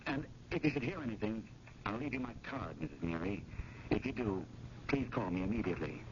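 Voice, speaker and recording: An actor playing an earnest, anxious museum curator in a 1970s radio drama, speaking with formal, insistent urgency. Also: male voice, lowish voice, expressive, fairly quick, highs cut off, natural voice. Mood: polite, insistent. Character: John, earnest, anxious male museum curator pursuing a painting. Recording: noisy, band-limited 1970s radio broadcast.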